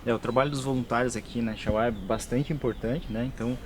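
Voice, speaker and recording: A Brazilian man with an average pitch talks through the work at a plant nursery, pausing often and restarting his sentences. He sounds like he is on location, with faint background noise around him. His tone stays plain and explanatory. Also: fairly even intonation. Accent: Brazilian Portuguese. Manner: hesitant, many fillers and restarts, plain explaining tone. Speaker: nursery worker at a conservation NGO. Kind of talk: on-site interview, explaining the work. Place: plant nursery and lab on a rural property.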